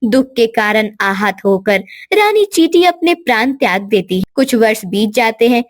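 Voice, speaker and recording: Female narrator, high voice, scripted cartoon storytelling, very dry studio-like sound. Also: animated, unhurried, no room sound.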